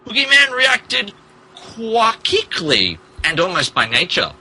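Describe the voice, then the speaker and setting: A man's voice: high voice, huge pitch swings, slow pace, sharp tone, slight background noise. A man narrating a comic dramatic reading of fan fiction in a theatrical, emphatic manner. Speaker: podcast host reading as narrator.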